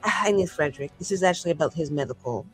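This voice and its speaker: A woman's voice: low voice, expressive, fast talker, faint music behind. A woman playing a tabletop roleplaying game, improvising in casual, slangy, sweary talk with a wry, rambling manner.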